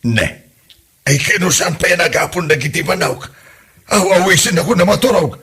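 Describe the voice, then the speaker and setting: Man playing a bright, excitable old grumbling husband. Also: sits high, normal speed, slightly rough, exaggerated voice. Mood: complaining. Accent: Ilocano. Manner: exclamatory, complaining. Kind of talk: Ilocano comedy radio-drama acting.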